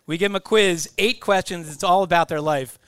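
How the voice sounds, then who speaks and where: A man's voice: high voice, a little fast, a bit bright, clean tone, no echo. A man co-hosting a radio show, casually setting up a quiz for a guest. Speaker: radio co-host.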